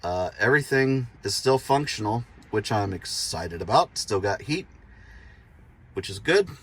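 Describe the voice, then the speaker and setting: A man's voice: lowish voice, expressive, unhurried. A man hosting a do-it-yourself vlog, explaining his work casually in an informal, folksy, self-deprecating way. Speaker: DIY vlog host. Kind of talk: casual hands-on how-to narration.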